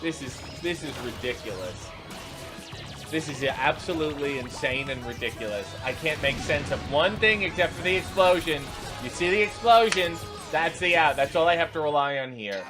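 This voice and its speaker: A man's voice: a little high, lively intonation, moderate pace, over music. A man hosting a reaction video, giving unscripted running commentary in an exasperated, sarcastic, incredulous way.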